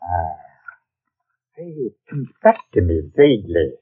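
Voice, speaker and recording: A husky Scottish man, practical, plain-spoken and wary, in a 1940s radio play. His pitch moves about in a lively way. The old recording is thin and tinny. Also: slow, full character voice. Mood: wary, deferential. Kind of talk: 1940s radio drama acting.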